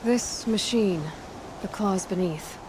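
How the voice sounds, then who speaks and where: A woman's voice: mid-range voice, slow, natural voice. Woman playing young huntress, noisy ambience behind. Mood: earnest. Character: capable, plainspoken young female huntress. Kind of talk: video game dialogue.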